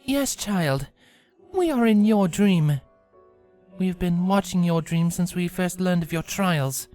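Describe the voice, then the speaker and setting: A woman's voice: lowish voice, takes it slowly, warm tone, soft background music. A woman voicing a regal, composed pony princess of the night with a formal, stately manner. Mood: solemn, calm. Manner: formal, stately, uses royal we.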